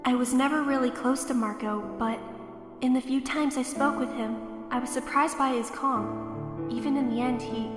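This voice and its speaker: A woman's voice: high voice, steady tone, moderate pace, echoey room, in a large hall, music in the background, natural voice. A woman playing a sincere, peacekeeping young cadet in an anime parody drama. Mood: wistful.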